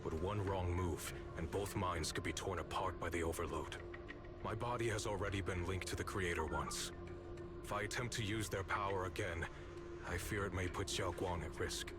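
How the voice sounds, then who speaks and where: An actor playing a grave, earnest swordsman in a video game, speaking in a formal, measured, solemn way. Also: low-pitched, over loud music, natural voice. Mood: earnest, urgent. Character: grave, earnest older-brother swordsman with secret knowledge.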